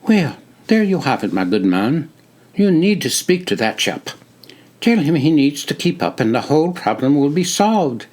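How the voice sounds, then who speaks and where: A man voicing a friendly young male army recruit who talks in a crisp, British-sounding, courteous way. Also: on the high side, very animated, moderate pace, a little mellow, pitched above the performer's own voice. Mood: genial.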